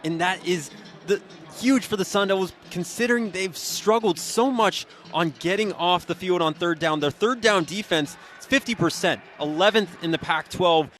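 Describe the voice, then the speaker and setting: A man's voice: high voice, moderate pace, a bit bright. An American man giving live color analysis between plays on a college football radio broadcast. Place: football stadium broadcast position. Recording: outdoor stadium background noise.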